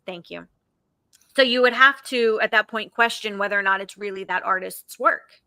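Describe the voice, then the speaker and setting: A woman's voice: mid-range voice, moderate pace, pushed and bright, dry recording. A woman hosting a podcast, talking earnestly and giving advice to students in a casual chat. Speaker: podcast host.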